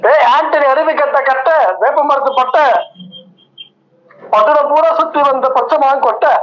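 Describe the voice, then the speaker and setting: Guy doing a cocky tamil movie tough guy, highish voice, punchy declaimed lines, dull phone audio. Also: steady tone, moderate pace, fairly bright tone. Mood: aggressive swagger. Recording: muffled phone line.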